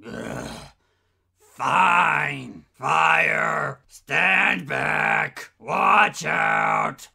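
A man's voice: fairly high pitch, vocal fry, pushed and bright, slightly rough, full character voice. A man voicing a grumpy, lazy soldier who grudgingly grumbles every order. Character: grumpy lazy beer-loving male soldier.

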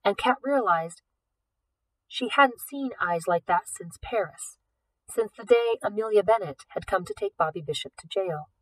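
A woman narrating a novel as an audiobook reader, with expressive delivery. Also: mid-range voice, unhurried, far from the mic, poor audio. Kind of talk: expressive scripted fiction narration.

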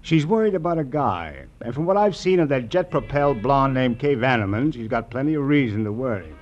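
Mutt, a sleazy, swooping-voiced schemer. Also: male voice, medium pitch, sing-song, fairly quick. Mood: smug, cagey. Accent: American. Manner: cagey, taunting, slangy. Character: sleazy, evasive money-grubbing male operator. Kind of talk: old radio crime drama acting. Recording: muffled old radio recording.

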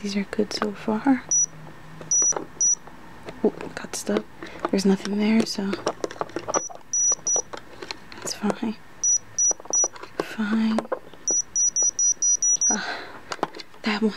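A woman doing an ASMR roleplay in soft speech that slips into whispers, muttering hesitantly to herself like an unsure student. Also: medium pitch, level tone, extremely slow, long pauses. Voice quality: soft, often breathy whispering. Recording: noisy close recording with clicks.

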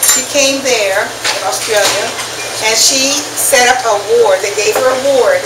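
An older woman giving an informal personal talk to a small group in a restaurant, speaking deliberately with pauses. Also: on the high side. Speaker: guest speaker at a business networking meetup. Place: restaurant dining room. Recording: echoey, noisy room, distant mic, loud background hum.

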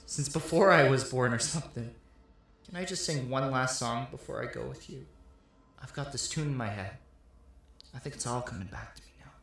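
Man acting bewildered dead young man, bright voice. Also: a little high. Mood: subdued, wistful. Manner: questioning, plaintive. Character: confused, anguished young man newly dead. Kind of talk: stage-style drama dialogue. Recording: very echoey room, faint noise.

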